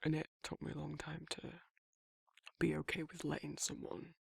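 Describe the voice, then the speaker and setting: A man playing a guarded but soft-hearted werewolf in an intimate ASMR roleplay, talking in a halting, informal way with a husky, gravelly voice. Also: fairly high pitch, completely flat delivery, no room sound, clean studio sound, heavily acted. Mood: earnest, reassuring. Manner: hesitant, halting, rambling, informal. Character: guarded, soft-hearted male werewolf shyly confessing affection.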